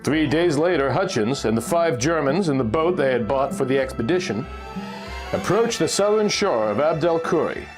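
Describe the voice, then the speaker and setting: Animated man reading a pulp adventure story. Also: a little high, moderate pace, over music, poor audio. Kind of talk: dramatic scripted narration of an adventure story.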